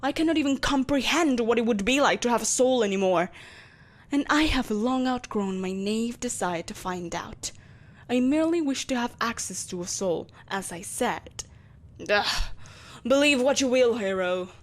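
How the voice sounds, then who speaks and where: A woman playing a vengeful, possessive empress in an audio roleplay, speaking in a haughty, theatrical and deliberately menacing way. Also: on the high side, animated, close to an everyday voice. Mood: exasperated, insistent, then haughty, grudging. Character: vengeful, possessive evil empress, soulless, imperious, coaxing a captive. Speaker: voice actor. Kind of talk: scripted audio roleplay acting.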